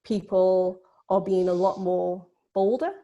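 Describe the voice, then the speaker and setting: Creaky, mellow-voiced woman, reflective. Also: mid-range voice, fairly even intonation, slow pace, lo-fi sound. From born in Vietnam, grew up in Britain. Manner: hedging, frequent "you know" and "kind of". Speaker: film producer on a panel. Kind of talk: informal, reflective panel discussion.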